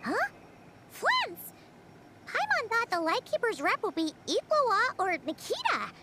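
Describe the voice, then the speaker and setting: A woman voicing a tiny, chirpy, childlike fairy companion with a squeaky voice in a video game, speaking of herself in third person. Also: huge pitch swings, a little slow, sharp tone, slight background noise, heavily acted. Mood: surprised.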